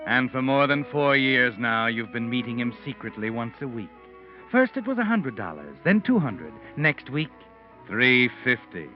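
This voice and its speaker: Old radio mystery narrator guy, knowing, sardonic, measured, music under, muffled. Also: mid-range voice, sing-song, natural voice. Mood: knowing, insinuating. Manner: measured, insinuating, dramatic. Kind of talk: 1940s-style radio mystery narration in second person.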